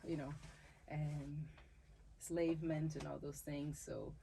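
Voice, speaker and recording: A woman with a Brazilian accent speaks in a low, gravelly voice with a warm, mellow tone. She talks thoughtfully in an interview, restarting phrases as she goes and laughing easily. A faint hum of room noise sits under her. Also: little pitch movement, a little slow, rough recording. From Salvador, Bahia, Brazil. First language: Portuguese. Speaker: dancer and capoeira instructor, interview guest. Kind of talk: conversational interview.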